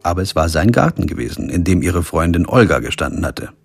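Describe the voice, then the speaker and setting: Male lawyer narrator, deep voice, dry, pragmatic, understated, radio crime drama, faint music. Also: expressive, a little fast, vocal fry, breathy edge, exaggerated voice. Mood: matter-of-fact. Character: wry, pragmatic lawyer narrating his own crime story. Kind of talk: scripted first-person narration and radio drama dialogue.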